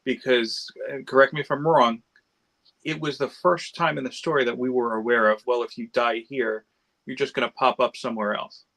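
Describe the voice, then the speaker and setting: Mid-pitched man in casual discussion. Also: steady tone, normal speed, gravelly, bright, forceful tone. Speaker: panelist in a read-along discussion. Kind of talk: casual discussion, offering an opinion.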